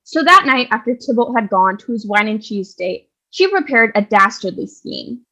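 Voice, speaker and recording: A woman acting as a tongue-in-cheek storyteller for a stage comedy. Her voice is bright and fairly high, and she narrates with wry, mock-serious drama. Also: lively intonation, normal speed, lo-fi sound. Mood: mock-dramatic. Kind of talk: comic scripted narration.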